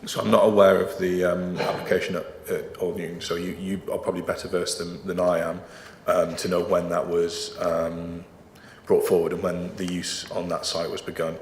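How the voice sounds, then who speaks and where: A man's voice: deep voice, level tone, moderate pace, echoey room, long reverb tail, slight background noise. A man working as a planning officer, explaining procedure formally and carefully, with frequent ums. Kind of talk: explaining procedure in a council meeting.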